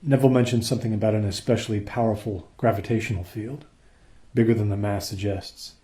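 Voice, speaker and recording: A man plays a dutiful crewman in a science fiction radio drama. His voice is fairly low and very mellow, and he reports to his captain in an earnest, measured, respectful way. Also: steady tone, natural voice. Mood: matter-of-fact. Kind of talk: radio play acting.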